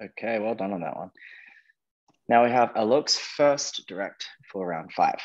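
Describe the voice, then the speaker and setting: A man's voice: fairly low pitch, animated, unhurried, muffled recording. A man hosting a quiz, reading questions and ruling on answers. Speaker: quizmaster, host.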